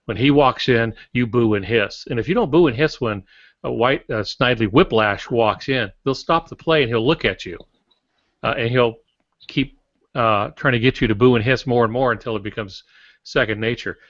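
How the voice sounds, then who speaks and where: A man's voice: mid-range voice, moderate pace, fairly bright tone, no room sound. An older man co-hosting a writing podcast in casual, instructional discussion, telling anecdotes to make his points. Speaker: podcast co-host and writer. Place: small indoor home office. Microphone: video call. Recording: dull, lo-fi video call audio.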